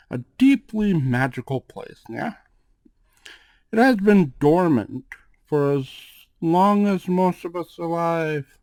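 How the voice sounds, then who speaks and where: A man playing a suave, calm and protective cat-folk gang boss in an ASMR roleplay, speaking in a measured, drawn-out way with questioning tags. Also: a little high, dry recording, heavily acted. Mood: explaining, mysterious. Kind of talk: ASMR roleplay, acted monologue to one listener.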